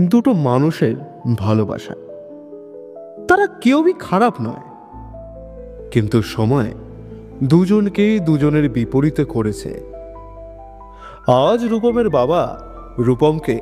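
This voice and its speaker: A man with a West Bengal accent narrating a scripted love story, expressive and conversational, speaking straight to listeners. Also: a little high. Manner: expressive, conversational, with rhetorical questions. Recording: long reverb with music behind.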